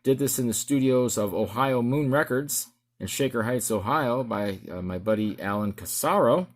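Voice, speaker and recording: A middle-aged man talks casually, presenting something of his own as an amateur musician. His pitch sits in the middle, and his delivery rambles and halts as he goes. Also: moderate pace. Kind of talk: casual show-and-tell vlog.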